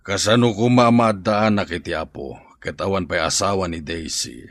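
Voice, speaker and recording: Man as a wealthy older boss, low voice, brooding, dry close recording, radio drama. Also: moderate pace, a bit bright, no room sound. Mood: musing. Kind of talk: Ilocano radio drama acting.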